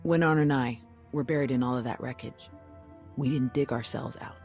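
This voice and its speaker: An actress playing a tough, plain-spoken warrior comrade in a video game, casual and frank. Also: female voice, a bass voice, normal speed, darkish tone, faint music behind. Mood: frank, sincere. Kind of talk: scripted video game acting.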